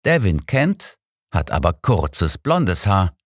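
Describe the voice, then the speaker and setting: A man voicing a shrewd, unflappable old detective in an audiobook, measured, deliberate and courteous. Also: low voice, big pitch swings, no room sound, studio quality, heavily acted. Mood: matter-of-fact.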